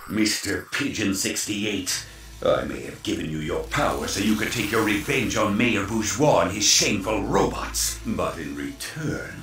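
Menacing cartoon mastermind, deliberate n grand, big echo, far from mic. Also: male voice, fairly low pitch, expressive, fairly bright tone. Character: commanding, menacing male supervillain mastermind. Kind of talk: animated game villain monologue. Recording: echoing, distant voice over music.

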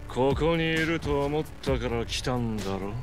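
A man playing a menacing, unhurried gang boss in a video game, speaking with mocking, coolly threatening manner. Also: mid-range voice, expressive, close to an everyday voice. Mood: mocking menace. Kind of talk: dramatic video game acting. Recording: dramatic music under the voice.

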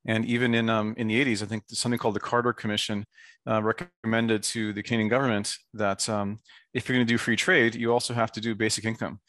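A man speaking as a guest advocate on a video call, informal and earnest, with halting phrasing. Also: lowish voice, flat delivery, a bit bright, no echo.

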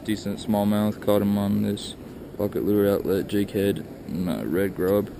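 A man's voice: lowish voice, fairly even intonation, measured pace, vocal fry, noisy background. A young man talking casually to an action camera outdoors while fishing, in a plain, matter-of-fact way. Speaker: fishing vlogger. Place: outdoors by a truck and a river at dusk. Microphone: wide-angle action camera held close.

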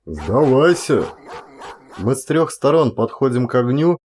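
Man, mid-range pitch, actor, scripted dramatic storytelling, audiobook narration. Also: animated, normal speed, darkish tone, slight background noise.

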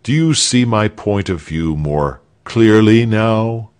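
A man's voice: fairly low pitch, expressive, slow, darkish tone, clean tone. A man voicing a haughty, coldly menacing governor with a curt, sardonic manner in a storybook reading. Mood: smug.

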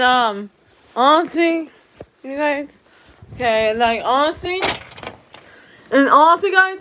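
Young woman, high-pitched, sore throat, rambling casual vlog, small room, phone mic. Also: pushed and bright, a little hiss or hum. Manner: rambling, repeats herself, many fillers. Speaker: vlogger. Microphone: phone camera propped nearby.

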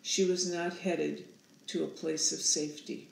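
A woman in her sixties narrating a storybook aloud in a measured, solemn manner. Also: low-pitched, flat delivery, gravelly, roomy sound, a little hiss or hum, low-quality recording. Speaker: author reading own picture book aloud.

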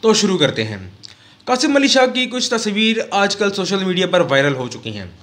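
A middle-aged man from Pakistan giving a formal current-affairs commentary vlog at a desk mic in a small studio, pausing often with "uh". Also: high voice, big pitch swings, fairly bright tone. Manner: measured, formal, with frequent "uh" pauses. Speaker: vlogger and news commentator. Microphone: desk mic on a stand close to the mouth.

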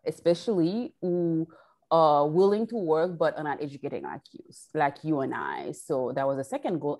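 Haitian woman in her forties, low voice, earnest explainer on a video call. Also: a little mellow. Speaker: guest chocolate maker.

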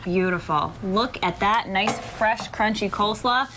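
A young woman hosting a TV cooking segment, chatty and upbeat, quick to react, in a studio kitchen on a lapel mic. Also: mid-range voice, background noise. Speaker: TV lifestyle show host.

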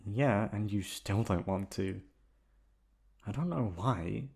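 A man playing an affectionate, teasing young boyfriend in a roleplay audio, coaxing playfully with drawn-out words. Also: lowish voice, gravelly, darkish tone, slightly rough, full character voice. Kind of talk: roleplay ASMR audio acting.

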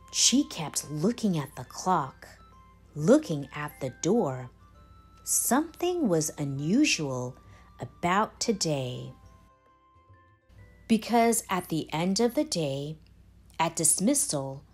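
A woman reading a children's story aloud in a deliberate, expressive way with dramatic pauses. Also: on the low side, slightly rough. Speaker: storybook reader, channel host. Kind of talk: children's read-aloud, scripted narration. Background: background music.

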